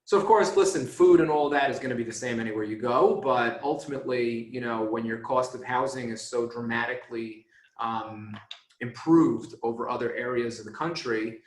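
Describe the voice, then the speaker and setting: A man's voice: mid-range voice, normal speed, reverberant. A man talking casually as a guest on a video call, with many fillers. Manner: conversational, filler-heavy. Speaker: panel guest.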